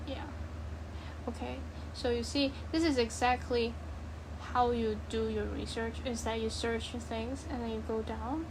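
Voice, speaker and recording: High-pitched woman, casual coding tutorial, noisy background. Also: steady tone, a little slow. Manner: informal, blunt, rambling and self-interrupting. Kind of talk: casual screen-share programming tutorial, thinking aloud.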